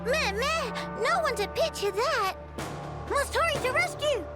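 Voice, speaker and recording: A woman's voice: very high-pitched, lively intonation, measured pace, over loud music. A woman voicing a small, childlike fantasy creature in a video game, eager and boastful, talking in broken, exclamatory grammar. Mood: alarmed. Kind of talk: video game cutscene acting.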